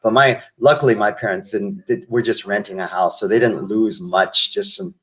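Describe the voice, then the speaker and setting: An older man from the United States speaks in a lowish voice as an interview guest. He tells his stories slowly and reflectively, doubling back and restarting his sentences. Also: steady tone, poor audio. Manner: reflective storyteller, many restarts and asides. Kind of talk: casual interview conversation.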